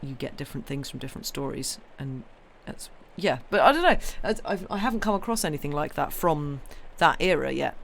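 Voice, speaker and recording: A young woman with a hoarse, croaky voice chats casually with her viewers after reading a story. She rambles in a friendly, apologetic way, full of ums and restarts. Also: deep voice, big pitch swings, a little fast, few pauses, slight background noise. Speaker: livestream story reader.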